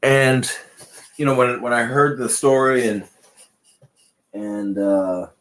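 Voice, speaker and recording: A man co-hosting a casual late-night chat show, talking in a loose, rambling way and pushing his pitch up and down for emphasis. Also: slow pace, a bit bright, low-quality recording. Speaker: podcast co-host.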